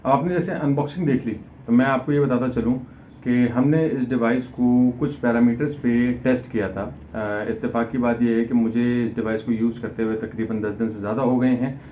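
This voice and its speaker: A man's voice: medium pitch, steady tone, normal speed, warm tone. A man giving an explanatory product review in a conversational way, mixing English terms into his speech. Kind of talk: unboxing and review talk, explanatory. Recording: dull lo-fi sound, faint background noise.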